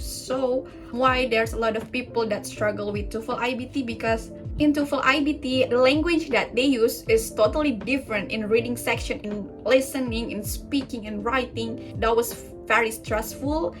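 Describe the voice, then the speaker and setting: A young Indonesian woman with an Indonesian accent chats to her viewers in English, her second language, in a fairly high voice. She talks earnestly and casually, with plenty of ums and restarts. Clear background music plays under her voice. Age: young adult. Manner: earnest, chatty, many fillers and restarts. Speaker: YouTuber. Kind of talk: casual vlog talk to camera.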